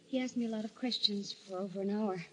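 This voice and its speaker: An actress playing a fragile, high-strung wife who doubts her sanity, hesitant and pleading in old-time radio melodrama style. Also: quick pace, close to an everyday voice, rough recording. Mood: subdued, anxious.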